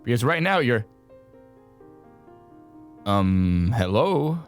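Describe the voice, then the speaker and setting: An actor playing a menacing, taunting colonel who gives orders as a villain in an audio drama. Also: male voice, medium pitch, big pitch swings, very slow. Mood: commanding. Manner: taunting, commanding. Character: menacing colonel villain holding hostages at gunpoint. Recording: music behind the voice.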